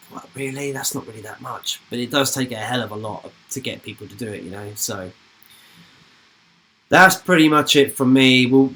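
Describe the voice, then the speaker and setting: A man's voice: medium pitch, a bit bright, poor audio. A young man, hosting a football channel's live stream in a rambling, informal chat that slips into casual swearing.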